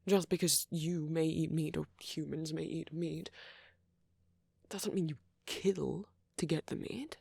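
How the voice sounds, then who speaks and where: A man acting a friendly, flirty, apologetic vampire in a soft ASMR roleplay, gentle and hesitant, with a husky voice that often drops toward a whisper. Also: on the high side, fairly even intonation, unhurried, dry recording, clean studio sound, exaggerated voice. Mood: mildly indignant. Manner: gentle, hesitant, teasing, with soft chuckles and endearments.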